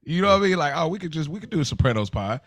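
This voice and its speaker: A man's voice: on the high side, very animated, racing, a bit bright, dry recording, clean studio sound. A man co-hosting and producing a podcast, chatting informally in slang and thinking aloud as he goes. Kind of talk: casual conversational podcast talk.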